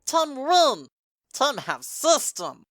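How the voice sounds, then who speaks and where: A woman voicing a small, frenzied female monster shopkeeper, squeaky and excitable, speaking in broken third person for a fan audio story. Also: drawn-out words, no room sound, studio quality, exaggerated voice. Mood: frantic, greedy.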